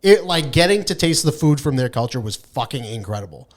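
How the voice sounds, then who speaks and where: A man's voice: medium pitch, sing-song, a little fast. A man co-hosting a podcast in casual, irreverent chat, brash and emphatic, swearing freely. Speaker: podcast co-host.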